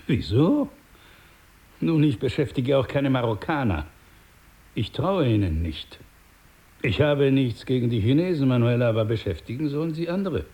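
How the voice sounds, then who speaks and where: Give the role of a powerful boss in a radio play to a male actor. His voice is mellow and sits at an average male pitch. He is polite in a patronizing, unhurried way and slips in impatient asides. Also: lively intonation, close to an everyday voice. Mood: condescending, controlled. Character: powerful, smooth-talking male crime boss, condescending host. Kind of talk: German radio drama acting, with Spanish asides.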